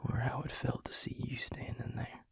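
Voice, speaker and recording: A man playing a tender, weary vampire lover in an intimate audio roleplay, speaking haltingly in a hushed, breathy, gravelly voice. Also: natural voice. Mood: raw, vulnerable. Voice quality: breathy, husky, gravelly half-whisper. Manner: hushed, halting, with long pauses.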